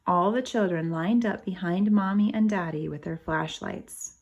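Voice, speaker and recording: A woman reads a picture book to young children in the style of a kindergarten teacher. Her pace is unhurried and her voice has a noticeable creak. She steps out of the text to explain and to put questions to her listeners. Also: on the low side, a little mellow, lo-fi sound. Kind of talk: animated storybook read-aloud with asides to children.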